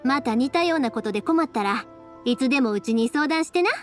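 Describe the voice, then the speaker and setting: An actress playing a cheerful, big-hearted young fireworks maker in an anime game, speaking with a Kansai accent. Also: female voice, high-pitched, fairly quick, soft background music. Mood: reassuring. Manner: lively, friendly, expressive dialect talk. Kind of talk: Japanese anime game voice acting.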